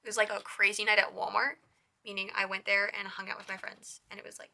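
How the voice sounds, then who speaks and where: A young woman with a hoarse, raspy voice hosts a casual crochet tutorial. She chats with a lot of "like" and counts her stitches in a soft whisper. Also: medium pitch, flat delivery, quick pace. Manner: chatty, with lots of "like".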